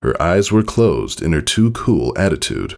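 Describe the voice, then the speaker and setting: A man narrates a story in a low, gravelly voice. His pitch swings widely as he reads, giving the scripted text an expressive storyteller's delivery. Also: measured pace. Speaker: fan fiction reader.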